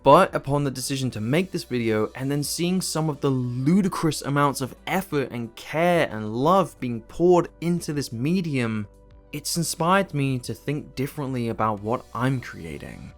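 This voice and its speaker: A man's voice: medium pitch, expressive, measured pace, faint music behind. A man narrating a scripted video essay in an earnest, emphatic and casual way, as the host of his channel.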